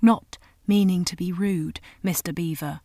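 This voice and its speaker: A woman's voice: on the low side, fairly even intonation, measured pace, dark tone, dry recording, clean studio sound. A woman reading a polite earnest boy.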